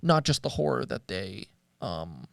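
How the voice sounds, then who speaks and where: A man's voice: mid-range voice, very animated, a little slow, vocal fry, fairly bright tone, dry recording, clean studio sound. A man co-hosting a show in casual discussion, speaking hesitantly and thoughtfully as he searches for words. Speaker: co-host of a media show.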